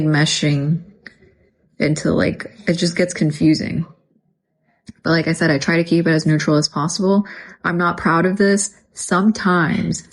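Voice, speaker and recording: Chatty New woman vlogger. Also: lowish voice. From New York. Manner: chatty, many fillers, thanks viewers by name. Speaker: livestream vlogger. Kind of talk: casual livestream chat.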